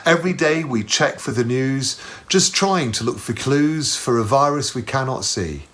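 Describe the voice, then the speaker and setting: A man reciting spoken-word poetry with a measured rhyming cadence. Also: medium pitch, expressive, fairly bright tone. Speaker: poetry performer.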